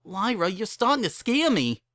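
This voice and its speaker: A woman's voice: medium pitch, big pitch swings, normal speed, a bit bright, dry recording, studio quality, pitched above the performer's own voice. A woman voicing a timid, anxious young female pony in a dramatic story reading, hesitant and pleading. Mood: frightened.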